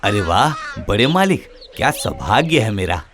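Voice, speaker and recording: A man playing a humble, plain-spoken potter and long-suffering husband in an animated story. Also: fairly low pitch, moderate pace, a little hiss or hum. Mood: meek, pleading. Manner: plain-spoken, scolding wife, meek to superiors. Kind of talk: animated moral story acting.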